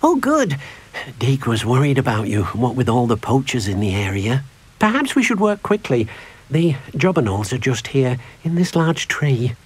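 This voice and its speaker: An actor playing a gentle, earnest, small elderly house-elf servant in a video game, who speaks of himself in the third person. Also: male voice, medium pitch, sing-song, normal speed, slightly rough. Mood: helpful, polite. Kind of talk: scripted video game character acting.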